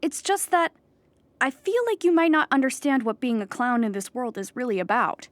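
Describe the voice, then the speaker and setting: An actress playing an anxious, childlike clown who rambles earnestly in a theatrical audio comedy monologue. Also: female voice, sits high, moderate pace, dry recording, close to an everyday voice. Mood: pleading, rambling. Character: anxious, childlike clown pleading at an appeal hearing.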